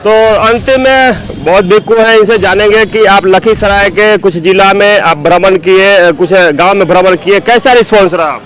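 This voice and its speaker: High-pitched male field reporter. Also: normal speed, hardly any pauses, fairly bright tone. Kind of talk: street news interviewing. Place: outdoors in a town at night.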